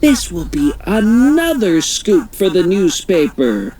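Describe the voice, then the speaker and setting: A woman's voice: on the low side, big pitch swings, takes it slowly, mellow, voice pushed up. A storybook reader voicing a plucky young girl reporter in a chirpy, matter-of-fact tone. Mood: upbeat.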